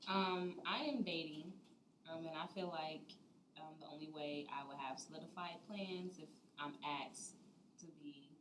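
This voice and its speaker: A woman's voice: sits low, measured pace, a bit bright, roomy sound, slight background noise, rough recording. A woman in her twenties, a dinner guest, explains her view earnestly with lots of "like". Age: 20s. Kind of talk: casual dinner-table chat. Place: dining room at a dinner table.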